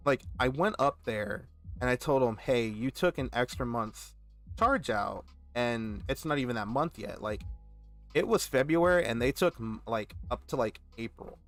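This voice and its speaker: A man in his twenties from Texas, speaking in loose, rambling casual chat. He sounds animated and aggrieved as he tells a story, with a voice of ordinary pitch. Soft music plays faintly behind him. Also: moderate pace. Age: 20s. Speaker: gaming channel host.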